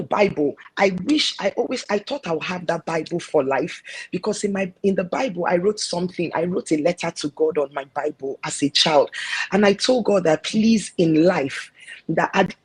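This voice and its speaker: A Nigerian woman speaks with a husky, breathy edge. She talks as an interview guest, earnest and reflective as she tells her story. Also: on the low side. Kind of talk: personal testimony in an interview.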